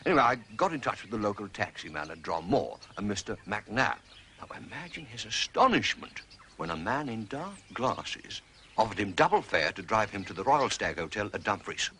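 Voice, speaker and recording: An actor playing a formal, deferential manservant in a period drama, reporting methodically with dry, theatrical relish. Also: male voice, lowish voice, big pitch swings, moderate pace, sharp tone, slight background noise. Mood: matter-of-fact. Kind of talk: British television period drama acting.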